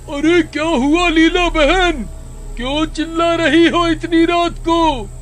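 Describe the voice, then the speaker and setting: A man's voice: very high-pitched, fairly even intonation, takes it slowly, a bit bright, soft background music, full character voice. A man playing an alarmed, earnest village man in a horror-comedy story, speaking anxiously. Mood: panicked.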